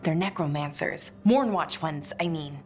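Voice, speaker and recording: An actress playing an eager, bookish young elf scholar in a video game, wordy and quick to apologise. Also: female voice, low voice. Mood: eager, helpful.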